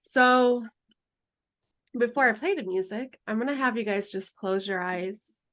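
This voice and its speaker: A woman teaching an herbalism class in a relaxed, chatty way, then leading a guided meditation. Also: on the high side, a little slow. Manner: relaxed, chatty, laughs easily.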